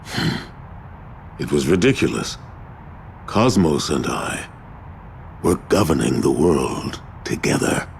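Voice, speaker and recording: An actor playing a huge, weary god of discord in a video game, speaking in a brooding, solemn, sorrowful and halting way. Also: male voice, a bass voice, sing-song, vocal fry, a little hiss or hum, exaggerated voice. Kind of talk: dramatic video game voice acting.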